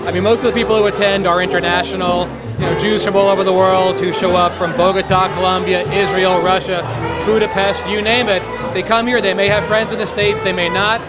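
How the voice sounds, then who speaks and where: Enthusiastic young man at a loud party. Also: high voice, flat delivery, a little fast. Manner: enthusiastic, talkative. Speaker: event guest. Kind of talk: casual interview. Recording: noisy loud music and crowd.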